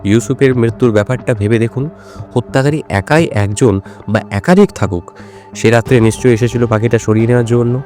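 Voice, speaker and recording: Mellow, self-assured detective, played by a man. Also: medium pitch, soft background music, natural voice. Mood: measured, deductive. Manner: measured, deliberate, explanatory. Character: shrewd, self-assured male detective explaining his deductions. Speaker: actor playing a detective. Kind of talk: Bengali audio drama dialogue.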